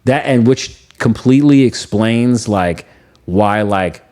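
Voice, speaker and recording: A young man with a fairly low voice, chatting loosely about his work. He rambles, circles back and leans on filler words. His tone stays easygoing and unhurried. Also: a little mellow. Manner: laid-back, rambling, heavy use of "like". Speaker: music producer and engineer. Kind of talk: casual podcast chat.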